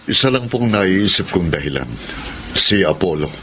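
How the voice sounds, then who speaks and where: A very low male voice in a radio drama. He is a sober, saddened confidant who explains things with regret, with faint noise behind him. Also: lively intonation, moderate pace, sharp tone. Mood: sober, regretful. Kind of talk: Filipino radio drama acting.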